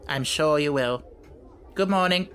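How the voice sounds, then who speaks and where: A man's voice: fairly high pitch, a little slow, a bit bright, slight background noise. A man playing a shrewd, unruffled businessman with a dry, detached manner in an audiobook story. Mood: coolly shrewd. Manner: dry, detached, matter-of-fact.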